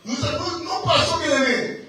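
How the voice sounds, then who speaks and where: A man's voice: very high-pitched, a little slow. A man with a Congolese accent preaching fervently, repeating phrases emphatically and prompting his listeners to respond. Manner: repetitive, emphatic, calls on listeners to answer. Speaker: preacher, pastor. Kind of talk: fervent, exhorting sermon. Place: church hall. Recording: very echoey church PA, distant, music behind.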